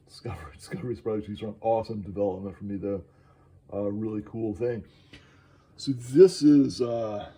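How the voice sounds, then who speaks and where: A man talking casually while drawing, halting and enthusiastic, with many fillers and restarts, moving around his studio. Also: on the low side, dark tone, slightly rough. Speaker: artist vlogging while drawing. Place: indoor studio, moves around the room.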